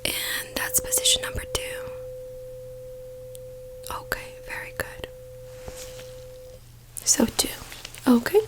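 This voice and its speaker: A woman doing a soft-spoken ASMR doctor roleplay in a breathy whisper, gently giving reassuring instructions close to the mic. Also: very high-pitched, sing-song, slow, long pauses, rough recording. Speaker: ASMR performer playing a doctor.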